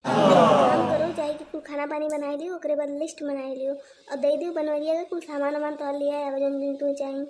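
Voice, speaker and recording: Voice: high voice, moderate pace, natural voice. A performer with an Awadhi accent voicing a grumbling, sarcastic older village mother-in-law in a comedy cartoon. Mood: bossy. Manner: nagging, sarcastic. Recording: noisy lo-fi cartoon soundtrack.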